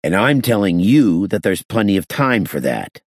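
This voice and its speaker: A man's voice: deep voice, sing-song, normal speed, gravelly, a little mellow, breathy edge, no echo, studio quality, exaggerated voice. A man voicing a stern, hard-boiled police inspector in an audiobook, commanding as he interrogates. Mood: firm.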